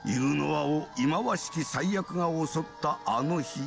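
Creaky, grave old nobleman. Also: on the high side, normal speed. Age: older. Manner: grave, old-man speech, authoritative. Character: wise, forgiving old nobleman, short and commanding. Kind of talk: Japanese fantasy game voice acting. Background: game music.

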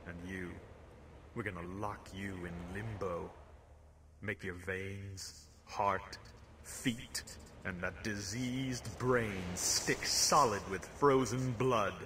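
A wrathful ancient ruler in a video game. He delivers his curse slowly, with a hard, forceful edge and theatrical menace. There is faint noise behind him. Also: lowish voice, fairly even intonation. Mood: menacing.

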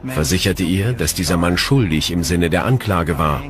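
A man with a deep voice speaks as an expert commentator. He gives explanatory commentary on history in a documentary. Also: lively intonation, moderate pace, over music.

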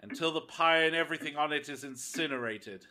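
An actor playing a cold, menacing captor in an audio drama, taunting and deliberate in a theatrical way. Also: male voice, fairly high pitch, sharp tone. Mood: gloating. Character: menacing cold male captor interrogating a prisoner. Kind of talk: fan audio drama acting.

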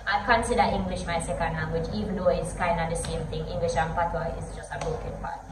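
A woman's voice: lowish voice, fast talker, recorded from a distance. An eighteen-year-old Jamaican woman chatting casually in a vlog, mixing English and Patois while gesturing with her hands. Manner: chatty, switches between English and Patois, animated hand gestures. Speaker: vlogger. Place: home gym room, indoors. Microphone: camera a few feet away. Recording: echoey room, voice off-mic, background hiss.

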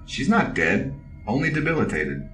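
An actor playing a stoic, deadly veteran military officer in an audio drama, terse, literal and deadpan. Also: mid-range voice, normal speed, echoey room, far from the mic, soft background music.

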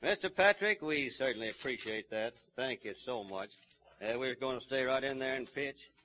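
A man's voice: medium pitch, animated, normal speed, noisy background. A man hosting a live 1930s radio show in a folksy, emphatic way, heard on a vintage broadcast recording.

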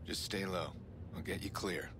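A man's voice: low-pitched, moderate pace, background noise. An actor voicing a terse, serious, commanding vigilante hacker in his thirties for a video game. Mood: urgent, commanding. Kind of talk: video game dialogue and narration. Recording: music behind the voice.

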